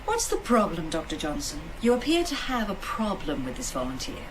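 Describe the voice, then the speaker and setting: Radio play: formal woman assistant, dry and pointed, lively pitch, noticeable noise behind. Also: a little fast. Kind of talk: radio drama acting.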